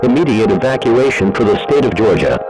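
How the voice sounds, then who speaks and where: A man reading a scripted emergency evacuation announcement in a formal, measured, automated-sounding manner. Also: mid-range voice, expressive, music in the background, low-quality recording.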